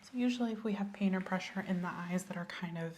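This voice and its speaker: A woman playing a calm, attentive doctor in an ASMR medical roleplay, speaking softly and often whispering as she gently instructs and checks in. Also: lowish voice, fast talker, a little hiss or hum, lightly acted. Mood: calm, reassuring.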